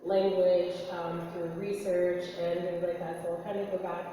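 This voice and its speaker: A woman artist with a cold, her voice raspy and nasal, talking informally about her work with many fillers. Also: on the low side, flat delivery, moderate pace, dark tone, slight background noise. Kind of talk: casual artist talk. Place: large echoey gallery. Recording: echoey hall from far off.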